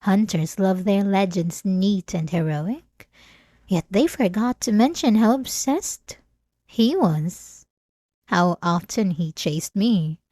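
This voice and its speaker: A woman plays an obsessive, centuries-old vampire lady in an intimate ASMR audio roleplay. Her voice is dark and soft, drawn out at a leisurely pace with teasing, possessive sweetness. It sits close and very dry on the microphone. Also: mid-range voice, animated, takes it slowly, dry recording. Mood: taunting, wistful.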